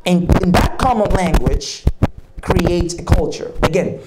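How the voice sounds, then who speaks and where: A man's voice: high-pitched, unhurried. Man teaching, noisy stream. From Dominican Republic. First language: Spanish. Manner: repetitive, drills key phrases and quizzes the audience. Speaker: speaker at a church leadership summit. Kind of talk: leadership teaching talk. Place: church. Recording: noisy live-stream recording.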